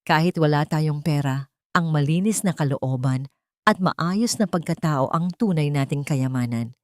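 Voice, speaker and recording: Gentle, earnest mother of little means, soft-spoken, for a love-story drama; played by a female narrator. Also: low voice, unhurried, no echo, clean studio sound. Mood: tender, earnest. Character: gentle, dignified poor young mother.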